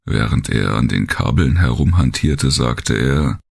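A man reading a dark first-person thriller audiobook as narrator, with a deep, gravelly, husky voice. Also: expressive, a little slow.

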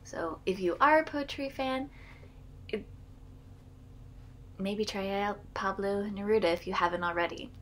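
A woman's voice: mid-range voice, unhurried, pauses often. A woman in her thirties with a Midwestern accent, chatting to her webcam as a channel host at home and reading a poem aloud with care. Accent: American Midwestern. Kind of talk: casual vlog with a poetry reading. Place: home room with bookshelves, indoors.

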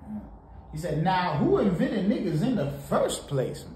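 A man from Haiti giving casual, animated reaction commentary on a song in a small home studio, talking to the camera. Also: high voice, unhurried. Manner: animated, preachy, sprinkled with laughs and "you know". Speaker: music reaction host. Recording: roomy home studio with faint noise.

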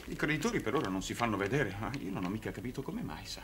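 An actor playing a proud, downtrodden middle-aged circus clown who stammers and repeats himself theatrically. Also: male voice, fairly low pitch, big pitch swings, fast talker. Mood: dejected. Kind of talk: 1960s Italian television drama acting. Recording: noisy old television soundtrack.

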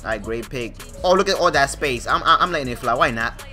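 A man's voice: medium pitch, lively intonation, fast talker. A man doing casual, slangy, profane trash-talking commentary over a video game. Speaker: gamer voicing parody commentary. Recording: music behind the voice.

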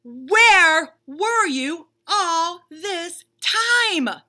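A woman voicing an excitable, impatient, needy male bunny from a picture book, with dramatic, exclamatory delivery. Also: extremely high pitch, voice pushed up, heavily acted. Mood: indignant. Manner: dramatic, exclamatory, drawn-out emphasis.

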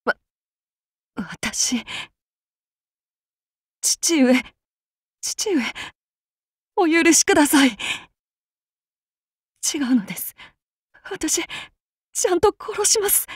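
A demon-blooded samurai lady for a video game, speaking with old-fashioned courtesy and a quiet menace underneath. Her pitch swings widely, and she drawls her words slowly. The recording is close and dry, with no room sound. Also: sing-song, no room sound, full character voice. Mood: frantic, pleading. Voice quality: soft, formal speech turning to wild shrieks in battle. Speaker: voice actress for a game character. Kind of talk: game character voice lines.

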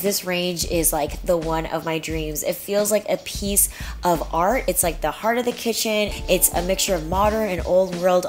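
A young woman vlogger giving an upbeat, chatty tour of her remodeled kitchen, talking straight to viewers. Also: lowish voice. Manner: upbeat, chatty, addresses viewers as "you guys". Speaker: home and lifestyle vlogger. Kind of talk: casual home tour with sponsored segment. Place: home kitchen, indoors.

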